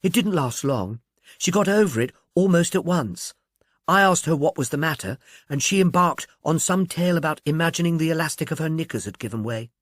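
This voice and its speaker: A man's voice: on the high side, animated, a little fast, no room sound, studio quality, voice pushed up. A man voicing an agreeable, candid young film actress with a breezy, gossipy manner in an audiobook.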